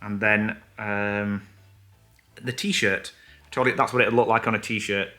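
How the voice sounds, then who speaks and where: A man's voice: lowish voice, steady tone, unhurried, pushed and bright, faint music behind. A man co-hosting a vlog, chatting casually and enthusiastically to camera with plenty of fillers. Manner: rambling, enthusiastic, many fillers. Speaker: vlog co-host.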